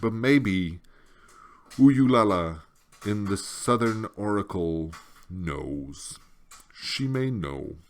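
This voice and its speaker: A man voicing an ancient, apathetic giant female turtle for a storybook, with a gurgling wheeze and a slow, weary, irritable drawl. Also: fairly low pitch, lively intonation, heavily acted. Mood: resigned, indifferent. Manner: slow, drawling, resigned speech.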